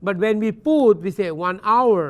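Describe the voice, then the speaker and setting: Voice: mid-range voice, quick pace, smooth, lo-fi sound. A university English teacher giving a recorded lecture. They explain patiently, spell words out letter by letter, switch between English and Thai mid-sentence, and keep checking in with "Understand?". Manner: gentle and encouraging toward learners. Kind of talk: recorded distance-learning lecture.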